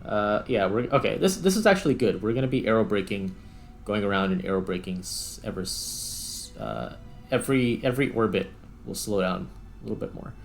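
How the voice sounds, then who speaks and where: A man giving casual gameplay commentary, thinking aloud in short, halting remarks. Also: on the low side, expressive, gravelly, soft background music. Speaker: gaming host.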